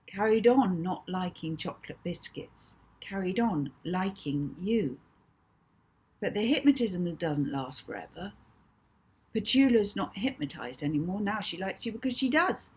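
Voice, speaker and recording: A woman's voice: mid-range voice, animated, unhurried, darkish tone, breathy edge. A woman voicing a young boy in a children's audiobook, a reassuring best friend who explains things insistently and matter-of-factly. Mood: surprised, reassuring. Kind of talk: children's audiobook character dialogue.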